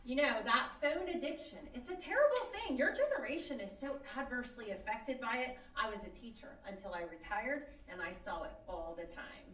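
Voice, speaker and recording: Woman playing a high, fluttery retired schoolteacher. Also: a little fast, few pauses. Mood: preachy. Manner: fluttery, excitable, sweetly preachy. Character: chirpy, well-meaning, easily distracted retired schoolteacher woman. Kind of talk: old-time radio comedy acting before an audience. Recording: roomy live stage, distant mic.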